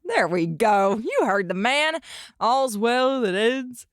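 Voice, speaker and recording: A commanding female outlaw leader in a western audio drama, played by an actress. Her breezy, mid-pitched voice is slow and very expressive, recorded dry. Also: no echo. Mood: soothing.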